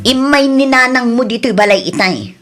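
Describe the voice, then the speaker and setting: High-pitched aggrieved young wife played by a woman. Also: lively intonation, normal speed, loud music behind the voice, close to an everyday voice. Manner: complaining, confrontational. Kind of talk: Ilocano radio drama acting.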